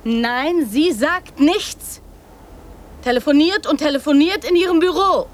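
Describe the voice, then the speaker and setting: A woman's voice: sits high, a little slow. A woman playing a precocious, brazen young girl in a radio drama, speaking in blunt, childlike short sentences. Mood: matter-of-fact, defiant.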